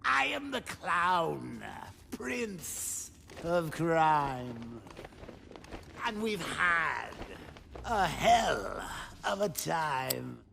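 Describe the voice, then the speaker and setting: Manic clown villain from a game, gleeful n taunting, drawn out, swoops all over. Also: male voice, fairly high pitch, big pitch swings, very slow, creaky, pushed and bright, a little hiss or hum, heavily acted. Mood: gleeful singing.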